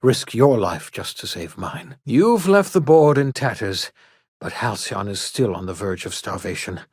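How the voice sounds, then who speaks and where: An actor playing an eccentric elderly scientist and rebel in a video game, earnest and theatrical, with formal, old-fashioned, emphatic phrasing. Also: male voice, lowish voice, sing-song, measured pace, darkish tone, breathy edge, no echo, clean studio sound, exaggerated voice. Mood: weary, relieved. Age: older. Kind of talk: video game character dialogue.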